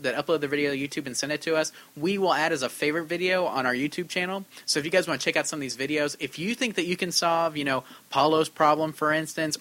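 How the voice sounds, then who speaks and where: A man's voice: on the high side, bright, forceful tone. A man co-hosting a podcast about arcade repair, chatting casually and reading out listener letters in an upbeat way. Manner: upbeat, quick, reads letters aloud. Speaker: podcast co-host.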